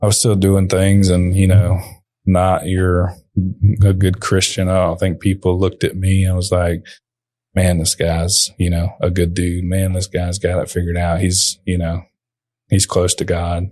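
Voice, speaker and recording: A young man, a company owner, talking quietly as a podcast guest, halting with many fillers. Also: deep voice, monotone, no room sound. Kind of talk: podcast interview.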